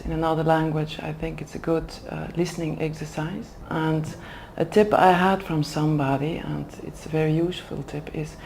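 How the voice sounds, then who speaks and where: Woman, deep and mellow tone, careful halting in an interview. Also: vocal fry, a little hiss or hum. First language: Dutch. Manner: careful, hesitant, pauses to find words. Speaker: executive development manager. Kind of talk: interview answers in a second language. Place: language school in Oxford.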